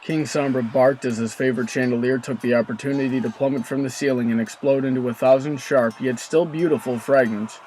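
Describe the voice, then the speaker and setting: A man serves as the narrator of a dramatic audio story. His voice is medium in pitch, and he reads in a scripted storytelling style over faint background noise. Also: steady tone, a little fast, hardly any pauses, a little mellow, poor audio.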